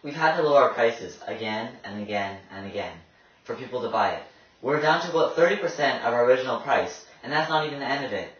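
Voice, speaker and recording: Man as downhearted 1930s everyman, medium pitch, roomy sound. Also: animated, quick pace, a bit bright, lightly acted. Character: downhearted 1930s ordinary man facing hard times. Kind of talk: amateur radio drama interview acting. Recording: echoey, distant, dull recording.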